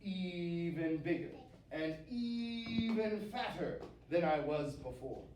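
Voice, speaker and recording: A man voicing a big, strong, confident billy goat in a storybook tale, self-assured and deliberate. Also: fairly high pitch, expressive, voice pushed up. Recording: roomy, distant mic with faint noise.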